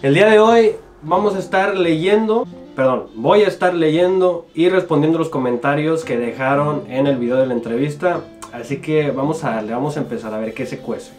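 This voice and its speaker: A young man with a Mexican accent talks in an informal, jokey way, pitched a little high. He sits at a desk mic in a dim little home studio, and light guitar music plays underneath. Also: normal speed, darkish tone. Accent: Mexican Spanish. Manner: jokey, laughs often, informal. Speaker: guest youtuber. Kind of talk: casual chat reading comments.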